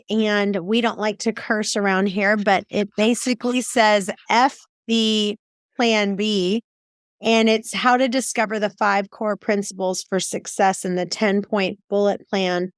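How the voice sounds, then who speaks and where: Female interviewer for a podcast. Medium pitch, casual conversational questioning, dry studio-like sound. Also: dry recording. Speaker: podcast host.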